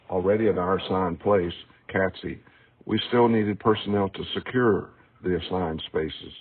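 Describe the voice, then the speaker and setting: Deep, husky man reading through tinny audio. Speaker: author reading a book excerpt. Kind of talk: scripted narration, audiobook reading of a memoir. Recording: tinny, phone-like narrow band.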